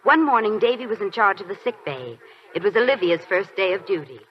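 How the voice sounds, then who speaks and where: An actress as a wry young Army nurse on old radio. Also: female voice, mid-range voice, lively intonation, fairly quick, slight background noise, lightly acted. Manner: dry, teasing wit. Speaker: Army nurse lieutenant and narrator. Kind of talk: 1940s radio drama acting. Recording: dull 1940s radio broadcast with faint music.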